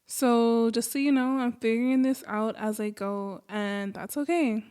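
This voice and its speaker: American girl doing a solo podcast, kinda high voice, chatty and easygoing. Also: steady tone, measured pace, darkish tone, clear, resonant tone. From Los Angeles, United States. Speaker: podcast host.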